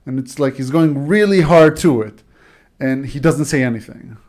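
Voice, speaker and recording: A man hosting a podcast in a loose, casual chat, reading jokes aloud and filling pauses with "uh" and "um". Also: medium pitch, darkish tone. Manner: chatty, loose, many fillers. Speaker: podcast host.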